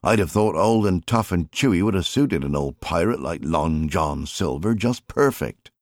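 A good-natured fisherman, played by a man reading an audiobook, given to muttered, teasing banter. The voice is low and sits close and dry. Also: lively intonation, normal speed, no room sound. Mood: teasing, grumbling. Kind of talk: audiobook character acting.

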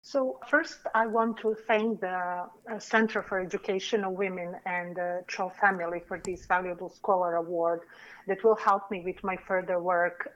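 A woman's voice: on the low side, muffled recording. A woman in her forties from Serbia, with a Serbian accent, telling her story in a careful, earnest podcast interview as a PhD candidate. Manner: measured, earnest, careful academic phrasing.

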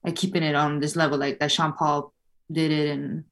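A woman chats as a podcast guest in an easygoing, thoughtful way, leaning on fillers as she thinks aloud. Her voice sits on the lower side for a woman. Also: level tone, normal speed. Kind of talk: casual interview chat.

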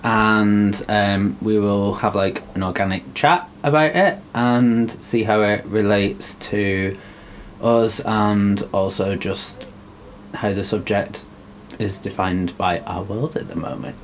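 A young man, co-hosting a podcast and talking slowly with many ums and likes. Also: on the low side, fairly even intonation, slight background noise. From Wigan, England. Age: young adult. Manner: slow, thoughtful, filler-heavy. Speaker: podcast co-host. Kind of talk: casual chat.

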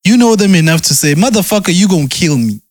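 A man with a slightly high voice speaks bluntly and swears freely. He pushes with pointed, direct questions. The recording is dry and close. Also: lively intonation, fast talker, dry recording. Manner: blunt, profane, pressing questions. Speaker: conversation partner. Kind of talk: casual chat.

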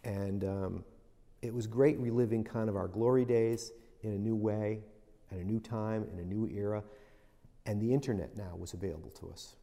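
A man's voice: lowish voice, steady tone, normal speed, warm tone, in a large hall. A middle-aged man telling stories as a guest in an interview, reflective and rambling. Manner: reflective, rambling, with many restarts. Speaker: interview guest, business veteran. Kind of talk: storytelling in a casual interview.

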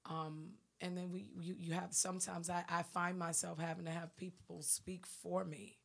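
A woman gospel singer with a raspy voice, speaking earnestly in an interview and often checking that she is understood. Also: fairly low pitch, little pitch movement, normal speed, a bit bright. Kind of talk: panel interview conversation.

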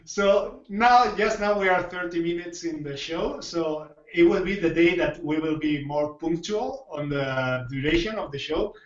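A man hosting a casual podcast interview in non-native English, speaking haltingly as he searches for words. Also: fairly high pitch, reverberant, distant mic, highs cut off. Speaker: podcast host.

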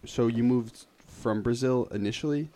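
A man's voice: mid-range voice, normal speed, warm tone. A man hosting a podcast, asking questions in a laid-back, slangy way. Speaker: podcast host. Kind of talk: casual interview chat.

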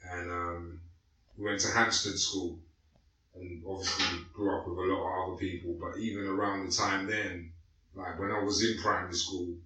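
A man's voice: sits low, little pitch movement, normal speed, bright, forceful tone, distant mic, slight background noise. A man in his late thirties with a London accent, telling his life story in loose, slangy casual chat full of fillers. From North West London, England. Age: late 30s. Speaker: guest. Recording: echoey room, away from the mic.

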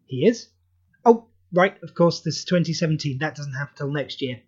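A man's voice: a little high, animated, moderate pace, husky, lo-fi sound. A man playing a breezy, eccentric, bossy time traveller in an audio drama. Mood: brisk, breezy.

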